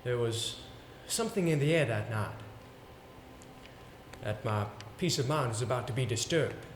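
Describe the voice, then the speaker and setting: An actor playing a pompous, exasperated theatre coach in an amateur stage play, with a sarcastic, emphatic delivery. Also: male voice, medium pitch, normal speed, lightly acted. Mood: measured storytelling. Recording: roomy stage recording with faint noise.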